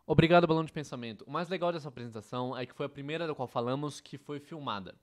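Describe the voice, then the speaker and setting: A man's voice: a little high, racing. A man with a Brazilian accent presenting an educational course in scripted narration. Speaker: educational presenter dubbing a theatre history course.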